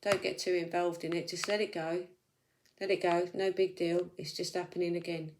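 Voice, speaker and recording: A woman's voice: on the low side, flat delivery, moderate pace, a little mellow, a little hiss or hum. Chatty woman reading tarot. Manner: chatty, reassuring, thinks aloud. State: slight sore throat. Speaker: tarot reader. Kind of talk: casual weekly tarot reading.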